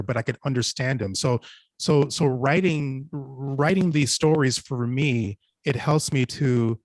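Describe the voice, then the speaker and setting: A man's voice: mid-range voice, dry recording. A man speaking earnestly and reflectively on a panel, often repeating and restarting his phrases as he goes. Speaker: podcast writer and panelist.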